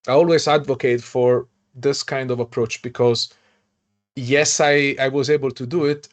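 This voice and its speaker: A man, a podcast host, talking casually in a halting way with many restarts and fillers. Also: medium pitch. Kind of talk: casual panel chat.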